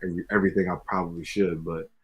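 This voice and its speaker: A man's voice: sits low, level tone, very fast, a bit bright, husky. A man from Maryland and Florida chatting casually as an interview guest, laid-back, often saying "you know.". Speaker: guest music producer.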